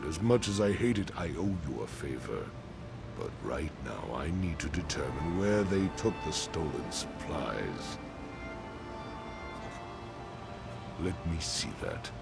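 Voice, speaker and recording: A hard orc chief in a fantasy game. He gives terse, grudging orders in an extremely deep, creaky voice. Music runs clearly underneath. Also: heavily acted. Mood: bitter, grudging. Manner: terse, commanding. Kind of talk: video game character dialogue. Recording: game audio with music behind.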